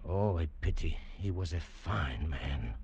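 An actor playing a courteous, scholarly male museum curator, speaking in a measured, deliberate way in a 1950s radio drama. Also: very deep voice, expressive, a little mellow, husky, full character voice. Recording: dull old radio broadcast.

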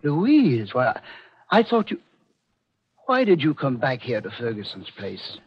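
A man acting in a 1940s radio mystery as a scheming, vengeful old American doctor and grandfather, speaking in a measured, coaxing, theatrical way. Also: mid-range voice, huge pitch swings, a little hiss or hum. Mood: uneasy, coaxing. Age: older. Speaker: radio actor. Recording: muffled old radio recording.

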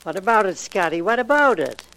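A man's voice: high-pitched, sing-song, brisk. An actor in a 1940s radio crime drama playing a dim, eager gangster henchman with uneducated, slangy speech. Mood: nagging. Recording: hissy, clicky 1940s radio recording.

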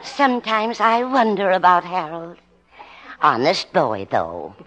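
An actress playing a fond, indulgent elderly mother who gently scolds, in a 1950s radio sitcom style. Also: lowish voice, animated, slow, a loud crowd. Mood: doting, musing. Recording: noisy old radio recording.

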